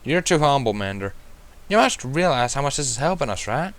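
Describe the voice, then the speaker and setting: A man's voice: mid-range voice, sing-song, a little fast, a bit bright, voice pushed up. A man voicing a friendly, hardworking female farm pony with plainspoken, folksy country phrasing in a storybook reading. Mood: encouraging.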